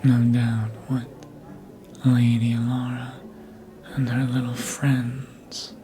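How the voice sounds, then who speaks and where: A performer voicing a suave, manipulative vampire in a whispered ASMR roleplay, breathy and deliberate, speaking close to the microphone. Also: medium pitch, completely flat delivery, music in the background, close to an everyday voice. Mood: soothing, seductive. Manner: slow, deliberate, hypnotic, insinuating.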